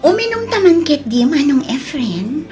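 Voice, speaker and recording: A woman acting a softly persistent, pleading mistress in an Ilocano audio drama, with a Filipino accent. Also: high voice, big pitch swings, moderate pace, over loud music. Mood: probing, concerned.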